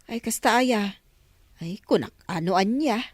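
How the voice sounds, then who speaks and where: An actress with an Ilocano accent playing an excitable neighbor who exclaims a lot in a radio drama. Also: female voice, medium pitch, unhurried, no echo, close to an everyday voice. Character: excitable female village neighbor.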